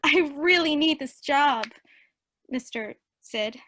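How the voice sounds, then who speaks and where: A woman's voice: high voice, lively intonation, slow pace, sharp tone, dry recording, studio quality, heavily acted. An actress in a radio play as an earnest, eager eighteen-year-old girl who dreams of singing. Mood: pleading. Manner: earnest, youthful.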